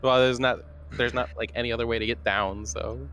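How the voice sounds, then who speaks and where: A man's voice: medium pitch, fairly even intonation, fairly quick, fairly bright tone, slight background noise. A man taking part in a tabletop roleplaying game, talking casually as a player. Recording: faint music behind the voice. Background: faint music.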